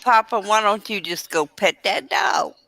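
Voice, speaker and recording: A woman's voice: mid-range voice, measured pace, sharp tone, dry recording. A woman in a casual gaming group chat with a blunt, teasing manner.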